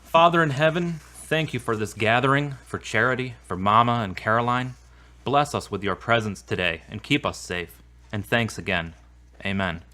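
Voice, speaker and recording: A man acts a sensitive, earnest man in an audio drama. His voice is mid-pitched with a bright, slightly tense edge, and he speaks seriously and sincerely. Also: moderate pace, natural voice. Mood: earnest, prayerful. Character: earnest, sensitive grown son, guilt-ridden and devout.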